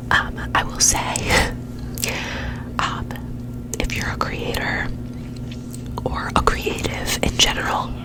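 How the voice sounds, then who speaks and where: A young woman with a cold, nasal and stuffy, rambling in a soft ASMR whisper at home, close to a sensitive mic and repeating words playfully. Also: rough recording. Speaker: ASMR creator. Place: home bedroom with dim warm lamp. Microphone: very close sensitive mic, gain turned up high.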